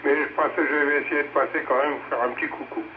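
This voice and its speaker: A man's voice: on the high side, fairly even intonation, fast. Older male radio amateur, casual rambling talk, very narrow tinny transmission, noisy. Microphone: two-way radio transmission.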